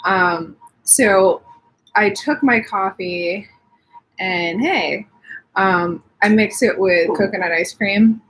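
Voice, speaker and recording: A young woman chats casually on a live stream from home, answering her viewers' comments one after another. Her voice has a soft husky edge, and she sounds a little sniffly from allergies. Her pitch sits in an ordinary middle range. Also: slow pace, low-quality recording. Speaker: livestream host.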